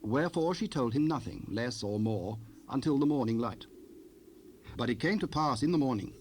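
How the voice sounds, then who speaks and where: A man narrating King James scripture in a measured, formal storytelling manner. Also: mid-range voice, animated, a little mellow. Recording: unprocessed cassette rip with noise.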